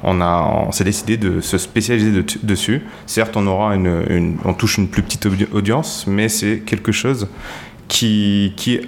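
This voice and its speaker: A man with a very deep voice speaks as a podcast guest and pauses often on fillers. A faint hiss sits under his voice. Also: steady tone, gravelly. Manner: halting, frequent fillers. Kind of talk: casual podcast discussion.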